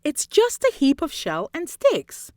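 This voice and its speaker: A woman reading a rhyming children's story aloud in an expressive, theatrical way with deliberate phrasing and dramatic emphasis. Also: sits high, no echo, studio quality. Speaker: storybook reader.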